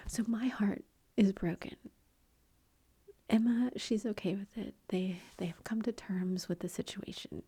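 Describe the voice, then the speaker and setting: A middle-aged woman talks to her ASMR viewers almost entirely in a soft, confiding whisper that wanders from thought to thought. Her voice is warm and mellow, with a heavily breathy, airy texture. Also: medium pitch, slow pace, long pauses. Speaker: ASMR YouTuber.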